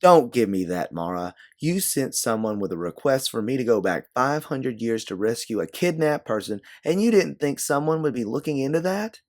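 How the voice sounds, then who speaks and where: A man's voice: mid-range voice, big pitch swings, moderate pace, few pauses, pitched above the performer's own voice, lightly acted. A man voicing a blunt, sarcastic, embittered woman time traveler on the run in an audio story. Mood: angry, exasperated.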